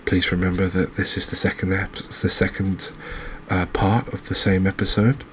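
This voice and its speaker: Very flat, low-voiced man, soft ASMR narration. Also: moderate pace, slight background noise. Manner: soft-spoken, calming, hesitant. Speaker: host of a cozy gaming channel. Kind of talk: ASMR game recap narration.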